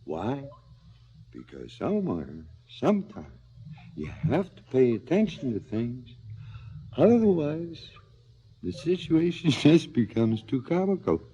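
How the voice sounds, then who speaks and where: A wry doomed cop in an old radio play, husky and mellow, kinda dull recording. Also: male voice, medium pitch, very animated, takes it slowly, full character voice. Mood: wry, teasing. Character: wry, fatalistic male cop, slow and mocking. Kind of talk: 1970s stereo radio drama acting.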